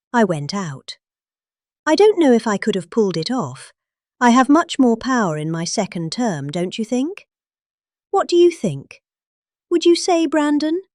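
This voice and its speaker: British woman, middle-aged, very lively intonation, theatrical read-aloud, conversational phrasing, dry studio-like sound. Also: mid-range voice, no room sound. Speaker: host reading a political speech aloud. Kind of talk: dramatic read-aloud of a speech transcript.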